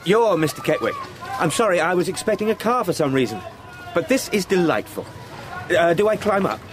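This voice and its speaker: An actor playing an eager, courteous young London solicitor in a radio drama, chatty and well-spoken with an English accent. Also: male voice, high voice, wildly swooping pitch, fairly quick, a crowd in the background, background noise. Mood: flustered, friendly.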